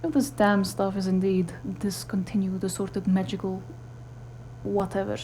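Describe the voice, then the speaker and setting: A woman's voice: medium pitch, completely flat delivery, moderate pace, mellow, a little hiss or hum, close to an everyday voice. A woman playing a tough, blunt, sardonic investigator's partner in a cyberpunk noir audio drama. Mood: dry, scornful. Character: tough, foul-mouthed, sardonic female cyberpunk partner in crime.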